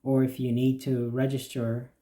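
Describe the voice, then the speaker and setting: A man explaining the features of a church website in a steady, plain walkthrough with occasional fillers. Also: medium pitch, normal speed. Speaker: church website developer giving a demo. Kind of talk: screen-recorded software walkthrough.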